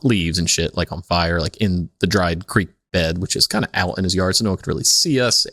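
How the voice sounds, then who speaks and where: A man co-hosting a podcast with casual, comedic chat, rambling and halting as he tells stories. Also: deep voice, a bit bright, dry recording, studio quality. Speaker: podcast co-host.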